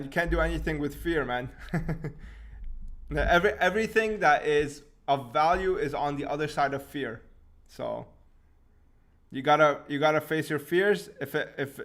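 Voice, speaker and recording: A young man from Syria who grew up in Canada, hosting a casual live question-and-answer stream, relaxed and quick to laugh. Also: fairly high pitch. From Syria, raised in Canada. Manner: relaxed, laughs often, restarts words. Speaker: channel host answering live chat questions.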